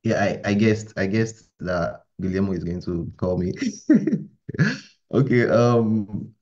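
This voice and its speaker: A man's voice: fairly low pitch, expressive, slow, dark tone. A man casually introducing himself as a participant in an online meeting. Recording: dull online call audio.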